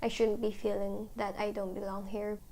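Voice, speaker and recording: A young woman from the Philippines talks quietly and reflectively, pausing often and filling gaps with "like." Her voice sits at a middle pitch with a gravelly edge that drops into fry at the ends of phrases. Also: little pitch movement. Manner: soft-spoken, hesitant, many "like" fillers. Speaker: documentary interviewee. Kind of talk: reflective personal interview.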